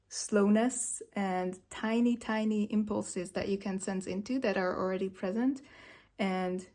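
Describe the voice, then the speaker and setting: A woman's voice: mid-range voice, a little mellow. A woman whose first language is Hungarian, speaking in a reflective, hesitant way with many fillers. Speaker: vlogger sharing movement practice. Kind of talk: reflective personal monologue.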